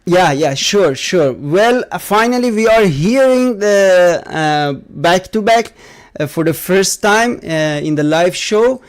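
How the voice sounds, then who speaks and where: A man hosting a gaming podcast, talking casually with many fillers and halting, non-native phrasing. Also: high voice, lively intonation. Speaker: podcast host.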